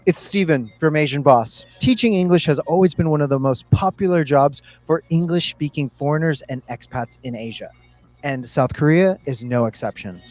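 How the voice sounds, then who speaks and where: A man's voice: medium pitch, a little mellow. A man presenting a street-interview video and putting questions to guests in an upbeat way.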